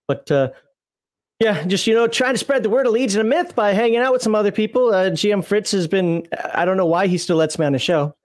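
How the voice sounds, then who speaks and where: Rambling, laughing man, slightly high voice. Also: brisk. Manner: rambling, laughing, many fillers. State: voice a bit worn from talking. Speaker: streamer co-host. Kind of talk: casual live-stream chat.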